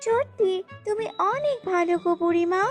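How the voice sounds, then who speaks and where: A tiny, innocent baby snake from a children's cartoon. The voice is extremely high and childlike, gentle and pleading, over background music. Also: female voice, animated, moderate pace. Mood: gentle, hurt. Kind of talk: cartoon voice acting in a children's fable. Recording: music bed behind the voice.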